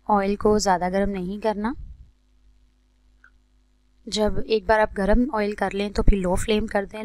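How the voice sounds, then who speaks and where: Even-toned Pakistani woman giving cooking instructions. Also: medium pitch, no room sound. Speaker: cooking channel host. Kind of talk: recipe tutorial voice-over.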